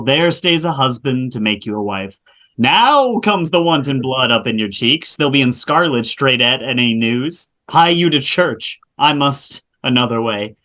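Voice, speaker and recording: A woman's voice: extremely low pitch, fairly bright tone, exaggerated voice. Here is a weary old nurse from a Shakespeare play, read aloud by a woman. She huffs, complains about her aches and exclaims often. She teases the one she serves as she goes. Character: weary, achy, teasing old female nurse.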